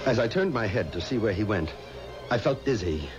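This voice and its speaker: An actor playing a stubborn, pious man in a 1970s radio horror drama, speaking in a dramatic, deliberate way. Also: on the low side, expressive, lightly acted. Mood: tense, haunted. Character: stubborn, pious man recounting a graveyard haunting. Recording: muffled old radio recording with music.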